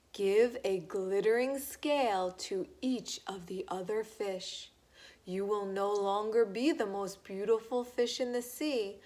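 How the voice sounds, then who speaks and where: A woman voicing a wise, ominous old octopus for a storybook, with a deep, solemn delivery. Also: darkish tone, natural voice.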